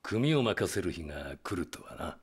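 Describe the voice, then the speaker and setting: An actor playing an older yakuza patriarch, paternal and cautious, with gruff authority, in a video game drama. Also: male voice, sits low, very animated, moderate pace, exaggerated voice. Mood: fatherly.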